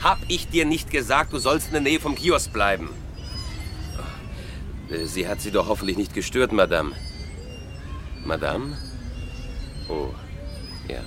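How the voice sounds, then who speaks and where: A man's voice: on the low side, very animated, measured pace, long pauses, music in the background. A polite, apologetic father.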